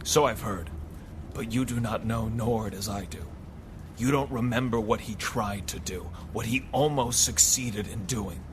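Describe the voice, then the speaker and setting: An actor playing a calm, formal masked vigilante hero, speaking in a measured, unhurried way in an audio drama. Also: male voice, on the low side, background noise, lightly acted. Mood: grave calm.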